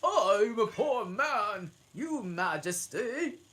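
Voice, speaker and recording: A woman voicing a poor, timid man in a storybook, stammering and pleading loudly. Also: medium pitch, sing-song, extremely slow, voice pushed up, heavily acted. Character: poor, nervous, trembling male Hatter, timid and pleading.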